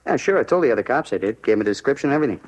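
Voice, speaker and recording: An American actor playing a talkative, eager bar owner full of street slang in a 1950s radio crime drama. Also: male voice, mid-range voice, lively intonation, rapid-fire, a little mellow, natural voice, poor audio. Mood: eager, helpful.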